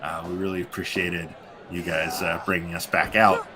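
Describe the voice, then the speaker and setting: A man's voice: deep voice, normal speed. Congested, rambling male wrestling commentator. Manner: rambling, filler-heavy, animated hype. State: congested and sleepy. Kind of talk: live play-by-play commentary. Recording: music playing behind. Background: music.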